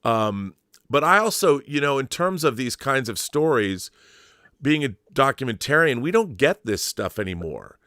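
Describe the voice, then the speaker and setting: A man's voice: mid-range voice, big pitch swings, normal speed, sharp tone, no echo, clean studio sound. A man chatting casually and enthusiastically as an interviewer, earnest and emphatic, often repeating words as he restarts his sentences.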